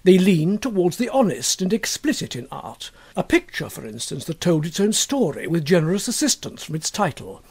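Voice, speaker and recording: A man narrating a literary short story in a measured, expressive storytelling manner. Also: high voice, slightly rough. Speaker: audiobook narrator.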